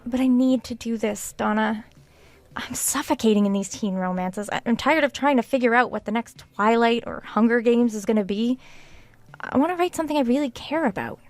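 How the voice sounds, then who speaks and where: Woman acting high-voiced earnest author in dry sound. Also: a little fast, dry recording, lightly acted. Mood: pleading, passionate. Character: earnest, stubborn woman author, pleading and frustrated. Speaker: actor. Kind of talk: audio drama dialogue.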